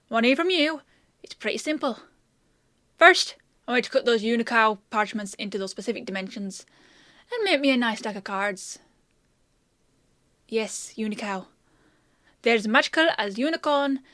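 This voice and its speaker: A woman's voice: a little high, fairly bright tone, no echo, studio quality. A woman playing a chatty, confident country apothecary in a fantasy audio roleplay, explaining and teasing as she talks to the listener. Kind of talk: one-sided roleplay acting addressed to the listener.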